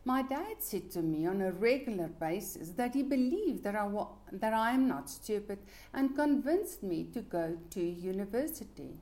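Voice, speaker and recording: A middle-aged woman whose first language is Afrikaans, giving an earnest, measured motivational talk about her own life. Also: mid-range voice, expressive, dark tone. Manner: earnest, measured, with small restarts.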